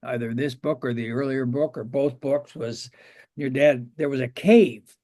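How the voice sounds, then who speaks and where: A man's voice: medium pitch, a little slow, mellow. A man hosting an interview show, talking conversationally with a wry, jokey manner.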